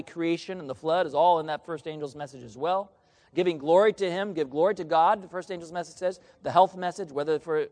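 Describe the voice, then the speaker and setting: A man preaching a sermon, reading scripture aloud and asking the congregation questions they answer back. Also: sits high. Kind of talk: sermon with call and response. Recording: long reverb of a large hall.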